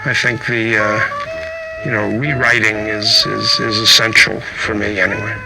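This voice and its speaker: A man talking reflectively as a poet being interviewed, halting and thoughtful, with phrases that trail and resume. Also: lowish voice, level tone, creaky, over music, low-quality recording.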